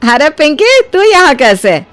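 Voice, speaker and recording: A woman playing a kind, generous older mother-in-law in an acted moral story, speaking in an expressive, colloquial, motherly way. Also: high-pitched, a little slow, soft background music, heavily acted. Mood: caring.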